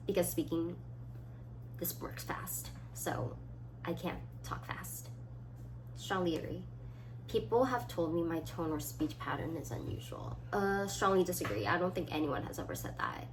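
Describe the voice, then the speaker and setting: A woman of about twenty chatting casually as a solo vlogger, reading items aloud and thinking through short, halting answers. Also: fairly low pitch. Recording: clearly audible background noise.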